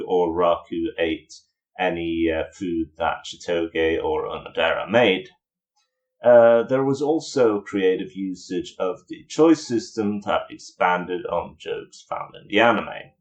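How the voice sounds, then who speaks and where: Male reviewer, lower register, informal commentary, dryly sarcastic. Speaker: video reviewer.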